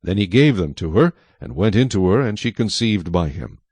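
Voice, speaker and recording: A man's voice: deep voice, sing-song, moderate pace, darkish tone, husky, no room sound. A man reading scripture as the narrator of a dramatized audio Bible.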